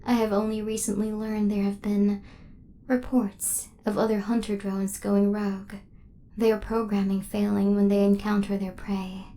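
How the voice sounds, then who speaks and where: A woman playing a devoted, protective female hunter robot in a soft-spoken ASMR roleplay, with formal, precise, machine-like phrasing. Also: medium pitch, little pitch movement, a little slow, slight background noise, close to an everyday voice. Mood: soft, grave.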